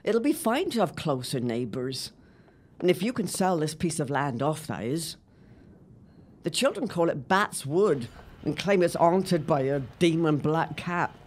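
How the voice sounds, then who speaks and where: A woman's voice: deep voice, animated, unhurried. A woman playing a blunt period-drama wife. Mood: matter-of-fact. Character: sharp-tongued, vengeful, mournful wife and mother. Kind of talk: period audio drama acting.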